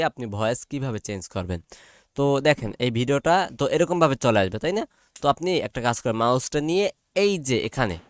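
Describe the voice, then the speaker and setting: Bangladeshi man giving a dry-sounding software tutorial. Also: medium pitch, dry recording. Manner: repetitive, often checks in with listeners. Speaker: tutorial presenter. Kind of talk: informal step-by-step software tutorial.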